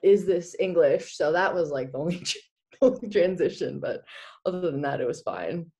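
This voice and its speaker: A woman in her twenties from the Czech Republic, chatting as a guest in a video-call interview, animated and quick to laugh. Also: on the low side. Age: 20s. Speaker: guest, former college hockey player. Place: small indoor room. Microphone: laptop webcam on video call.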